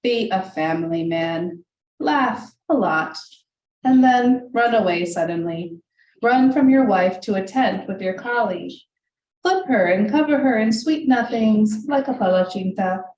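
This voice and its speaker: A woman's voice: mid-range voice, animated, a little mellow, dull audio. Woman poet reading in an echoey hall. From United States, Hungarian family. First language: Hungarian. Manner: measured, deliberate reading; asides loose with many ums. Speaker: poet reading her work. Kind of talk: live poetry reading with spoken asides. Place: reading room of a library, indoors. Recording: echoey room, voice from far off, faint hiss.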